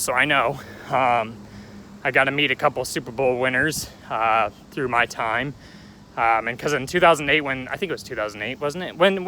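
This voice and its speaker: A man's voice: medium pitch, bright, forceful tone, a little hiss or hum. A young man telling his life story in a rambling, chatty vlog, recorded outdoors near a railway. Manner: rambling, chatty, joking aside at passing trains. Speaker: vlogger.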